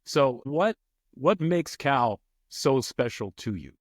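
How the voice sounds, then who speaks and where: A man's voice: mid-range voice, lively intonation, takes it slowly, no room sound, clean studio sound. A man hosting a podcast, interviewing in a casual, conversational way. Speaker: podcast host.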